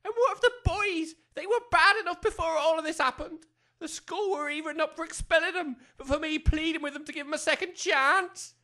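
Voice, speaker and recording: An exasperated English wife in a comic audio drama. She scolds and nags in an extremely high, bright voice with working-class turns of phrase. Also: fairly quick. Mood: scornful. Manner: nagging, colloquial.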